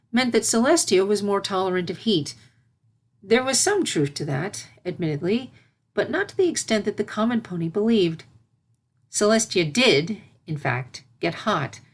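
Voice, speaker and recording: A woman's voice: on the low side, slightly rough. A woman narrating a scripted story in the style of a storybook reading. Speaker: narrator, fan fiction reader.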